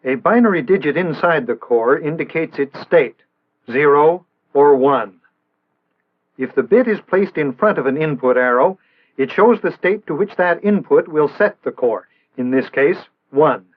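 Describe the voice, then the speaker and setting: A man's voice: on the high side, animated. Tinny vintage American training-film narrator, male. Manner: measured, didactic, precise enunciation. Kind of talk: scripted instructional narration, 1960s training film. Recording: band-limited, tinny vintage film soundtrack.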